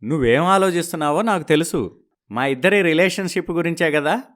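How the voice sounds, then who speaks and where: An actor playing a smooth-talking, evasive young husband in a story, placating and persuasive, dropping in English words. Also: male voice, fairly high pitch, a little fast, fairly bright tone, close to an everyday voice. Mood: persuasive, explaining.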